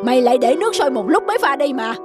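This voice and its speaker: A woman voicing a pompous, bossy fat master of the house in an animated folktale. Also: high voice, racing. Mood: bossy, irritated. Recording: music behind the voice.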